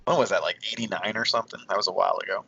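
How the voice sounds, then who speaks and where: Bright, forceful low-voiced man chatting. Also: fairly even intonation, rapid-fire, gravelly, slightly rough. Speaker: podcast co-host. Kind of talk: casual podcast discussion. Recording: dull audio with faint background noise.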